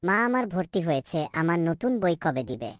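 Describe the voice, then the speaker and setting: A woman's voice: lowish voice, sing-song, fairly quick, no echo, clean studio sound. A woman voicing a polite, earnest young schoolboy in a cartoon. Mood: anxious. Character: polite, eager young schoolboy from poor family. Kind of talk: cartoon dialogue.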